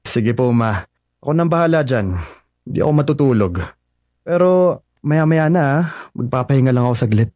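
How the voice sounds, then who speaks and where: A man's voice: mid-range voice, animated, normal speed, long pauses, dark tone, no room sound, lightly acted. A young man playing a polite, heartbroken son in a radio drama, speaking in a subdued, downcast way.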